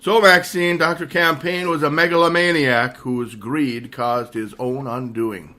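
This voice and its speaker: An actor playing a self-assured, pompous super sleuth in a theatrical radio mystery style. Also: male voice, medium pitch, fairly even intonation, a little slow, pushed and bright, soft background music. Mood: smug explaining.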